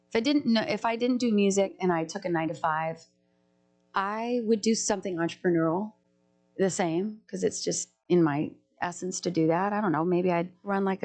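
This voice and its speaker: A woman's voice: mid-range voice, darkish tone. A woman, a singer-songwriter, chatting in a casual podcast interview and jumping from thought to thought with many restarts.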